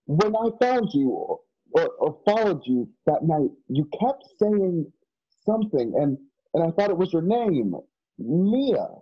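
A man's voice: a little high, big pitch swings, measured pace, husky, highs cut off, exaggerated voice. A man playing an earnest, loyal and naive young companion in a western radio play, with hesitant, stammering delivery. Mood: puzzled. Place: home, on a group video call.

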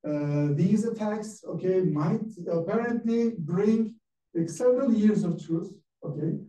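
A man's voice: high voice, dark tone. Turkish-accented man lecturing, full of fillers. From Turkey. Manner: halting, many filler sounds. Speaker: guest lecturer. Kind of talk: academic lecture. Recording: echoey room, mic at a distance.

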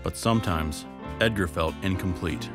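A man giving measured, scripted documentary narration. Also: sits low. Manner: even, measured reading. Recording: music bed behind.

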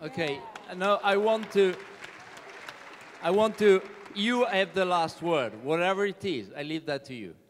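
A man moderates a panel in a brisk, wry way, heard from a distance in a large concert hall. His voice sits slightly high for a man, and a long reverb and faint audience noise surround it. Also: expressive. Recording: distant echoing hall mic with crowd.

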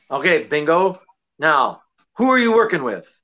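A middle-aged man playing a jaunty, wisecracking gentleman detective husband in breezy 1940s radio comedy style. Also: on the high side, sing-song, a little slow, lo-fi sound, full character voice. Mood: inquisitive. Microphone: seated before camera on video call.

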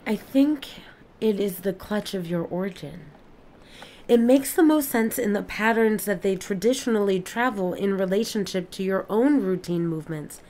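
A careful alien witness who studies planets , played by a woman speaks in formal, precise phrases with measured pauses. Her pitch is mid-range for a woman, and ambient noise sits under the audio drama recording. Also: mellow, lightly acted. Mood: careful, explaining. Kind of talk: audio play acting.

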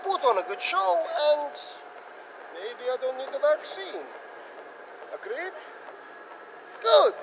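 An actor playing a smug, calculating mercenary villain in a video game, with a taunting, condescending manner. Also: male voice, very animated, slow, gravelly, pauses often, full character voice. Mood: taunting, bargaining. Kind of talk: video game cutscene acting. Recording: game effects and rumble behind voice.

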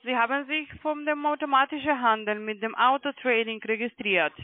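A woman's voice: fairly high pitch, steady tone, normal speed, no echo. A woman in making a telephone sales call as a call center agent. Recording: thin, dry phone line.

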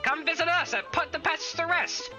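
A woman's voice: very high voice, normal speed, bright, forceful tone, exaggerated voice. A woman playing a peppy pest-control announcer in a rhyming parody radio advertisement. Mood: peppy, salesy. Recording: dull lo-fi sound, music bed.

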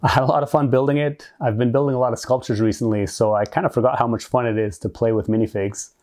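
A man in his forties hosting a hobby video, giving a scripted, clearly structured explanation in an upbeat way. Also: medium pitch, fairly even intonation, fast talker, a little mellow. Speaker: host of a brick-building channel.

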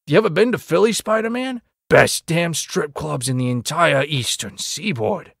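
An actor playing a brash, chatty city motorist in a comic dub, with a gravelly, wheedling delivery. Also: male voice, fairly high pitch, lively intonation, moderate pace, a bit bright, dry recording, clean studio sound, exaggerated voice. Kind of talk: comic dub character acting.

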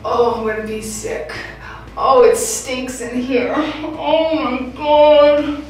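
A woman's voice: sits high, fairly even intonation, slow pace, darkish tone. An actress playing a frightened, foul-mouthed woman held captive in a film, blunt and emotional. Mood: nauseated, distraught. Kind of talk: dramatic film acting. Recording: echoey bare room, distant mic, music.

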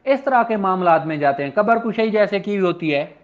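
A Pakistani man with a high-pitched voice teaches in an informal, explanatory way, as a lecturer would. The recording is dull and lo-fi. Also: lively intonation. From Punjab, Pakistan. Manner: explanatory, switches into slow deliberate reading. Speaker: law lecturer. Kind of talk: informal lecture, explaining and reading out legal text. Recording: dull, lo-fi audio.

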